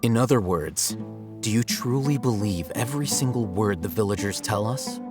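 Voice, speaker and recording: Casting: man to play young game scholar, low voice, aloof and condescending, measured logic, music behind. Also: natural voice. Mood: explanatory. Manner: measured, condescending, matter-of-fact. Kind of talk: video game voice acting. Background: guitar music.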